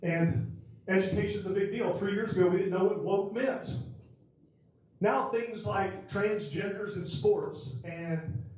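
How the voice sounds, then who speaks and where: A man's voice: high voice, a little slow, a little mellow. A middle-aged man giving a plainspoken, upbeat campaign speech at a candidate forum. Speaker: political candidate. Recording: echoey hall, distant mic.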